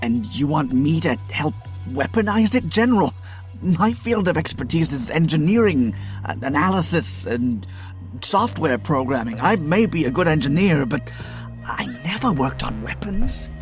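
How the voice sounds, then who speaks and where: A man playing a polite, hesitant scientist who speaks haltingly and deferentially in an amateur audio drama. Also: fairly high pitch, big pitch swings, faint music behind. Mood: hesitant, puzzled. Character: hesitant, polite male scientist, software engineer.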